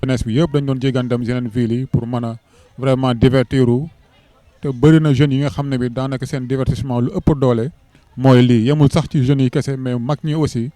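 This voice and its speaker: A man's voice: medium pitch, steady tone, a little fast, warm tone, dry recording. A man from Senegal who works as a civil registrar, speaking earnestly in an interview and mixing in French words. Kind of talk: interview testimonial.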